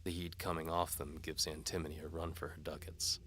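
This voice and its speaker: Low, forceful hard-boiled male narrator. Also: level tone, a little fast, breathy edge. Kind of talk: dramatic first-person noir narration.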